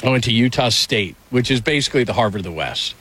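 A man's voice: medium pitch, animated, quick pace, a bit bright, husky, dry recording, rough recording. A middle-aged man hosting a casual morning radio show, telling rambling self-deprecating stories full of restarts.

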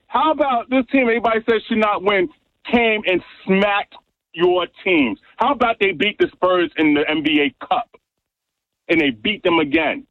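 A high-voiced man with a New York accent calls in to a radio show and brags emphatically. He comes through a tinny, dry phone line. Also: dry recording. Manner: boastful, emphatic trash talk. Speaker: radio caller. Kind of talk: call-in sports rant.

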